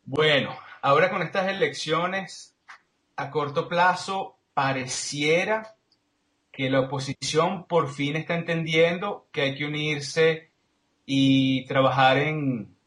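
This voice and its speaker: A man's voice: fairly high pitch, slow pace, fairly bright tone, roomy sound. A man with a Venezuelan Spanish accent giving analytical, explanatory answers as an interview guest, reasoning step by step. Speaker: interview guest, political commentator.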